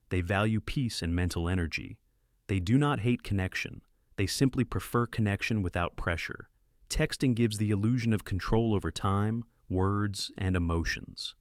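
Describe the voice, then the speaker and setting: Male narrator, lower-pitched, scripted explainer, measured delivery, dry studio-like sound. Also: darkish tone, dry recording.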